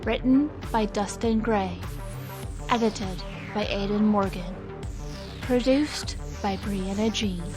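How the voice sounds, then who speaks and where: A woman's voice: medium pitch, takes it slowly. A woman reading scripted credits as the announcer of an audio drama. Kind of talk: scripted narration. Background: prominent music.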